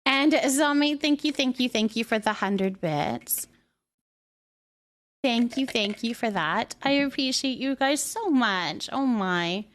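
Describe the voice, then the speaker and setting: A woman's voice: on the high side, lively intonation, slow pace. A woman with a Canadian accent chatting casually to viewers on a livestream, effusive and affectionate, often repeating words for emphasis. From British Columbia, Canada.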